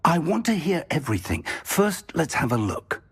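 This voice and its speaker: An actor playing an older, earnest wizard professor in a video game, with a husky, gravelly voice and a measured, thoughtful, formal manner. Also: male voice, a little high, big pitch swings, fairly bright tone, heavily acted. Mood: startled, curious. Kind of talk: video game cutscene acting.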